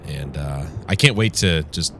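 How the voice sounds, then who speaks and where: Male game commentator, low register, stuffed-up strained voice, rambling wry delivery over background ambience. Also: a little slow, fairly bright tone. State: congested from flu, strained voice from yelling. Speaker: gaming show host. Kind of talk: casual let's play commentary. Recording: clear background noise.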